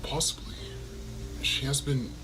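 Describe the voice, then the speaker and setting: A man playing a weary, guilt-ridden monster king in an animated horror story, speaking softly, formally and haltingly with a breathy voice. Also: close to an everyday voice, poor audio. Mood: troubled, resigned. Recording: loud music underneath.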